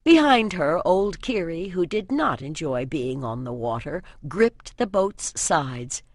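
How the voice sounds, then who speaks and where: A woman's voice: sits low, expressive, slow, no echo. Woman narrating fantasy, dry studio sound. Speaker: audiobook narrator.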